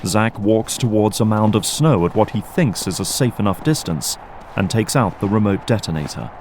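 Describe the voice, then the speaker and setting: A man's voice: fairly low pitch, lively intonation, moderate pace, a little mellow, slight background noise. A man giving scripted narration as the storyteller of an audio drama.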